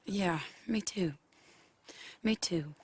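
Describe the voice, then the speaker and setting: Wistful reserved woman, lowish voice, restrained halting delivery, western game drama, faint noise. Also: a little mellow. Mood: subdued, sad. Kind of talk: cinematic video game acting.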